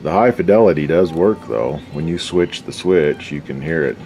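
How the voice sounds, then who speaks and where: A man's voice: very deep voice, normal speed, dull audio, noisy background. A man giving casual, unscripted commentary as a hobbyist repairer, talking in a folksy, slangy mutter while he works. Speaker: hobbyist radio restorer and video host.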